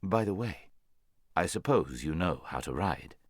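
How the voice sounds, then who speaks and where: A man's voice: low voice, lively intonation, measured pace, breathy edge, no room sound, clean studio sound, full character voice. A man voicing a proud, worldly talking war horse in a storybook, lecturing in a haughty, bossy way. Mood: brisk, persuasive.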